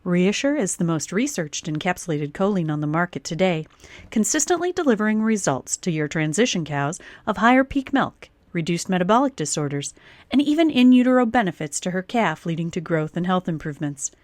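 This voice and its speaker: Animated woman ad announcer. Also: lowish voice, brisk, no echo. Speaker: sponsor announcer. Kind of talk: scripted advertising narration.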